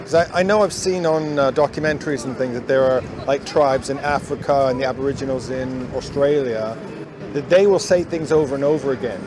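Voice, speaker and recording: A man's voice: medium pitch, a little fast. A man asking skeptical, hesitant questions in a casual street conversation. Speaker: interviewer. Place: busy city street outdoors. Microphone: hidden recorder. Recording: street noise, secret recording. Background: traffic.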